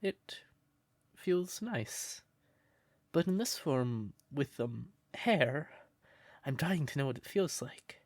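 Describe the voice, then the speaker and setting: A man playing a shy, sweet, curious crow turned human in a roleplay audio, speaking gently and breathily with hesitant stammers. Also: on the high side, expressive, slow pace, no room sound. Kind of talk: soft roleplay audio, intimate character acting.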